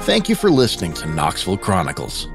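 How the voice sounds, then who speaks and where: A man's voice: low voice, wildly swooping pitch, fast, vocal fry, loud music behind the voice. A man reading a scripted outro as the announcer of a podcast.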